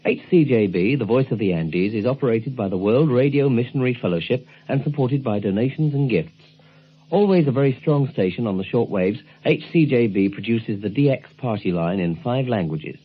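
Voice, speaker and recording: A man's voice: medium pitch, animated, moderate pace. A man presenting a radio documentary in scripted narration, heard through a thin recording with music behind. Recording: thin phone-like sound, loud music behind.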